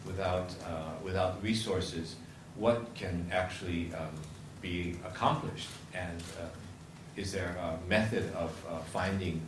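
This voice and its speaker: Deep-voiced man, halting with fillers. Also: steady tone, noisy background. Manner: halting, many ums and uhs. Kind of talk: formal introduction and questions. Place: conference hall.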